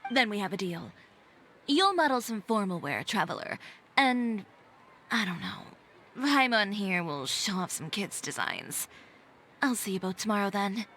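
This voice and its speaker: Poised, businesslike fashion designer. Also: female voice, mid-range voice, sing-song, measured pace, fairly bright tone, a little hiss or hum. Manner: composed, businesslike, direct. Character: poised, self-assured young woman fashion designer. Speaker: boutique owner. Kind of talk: video game voice acting.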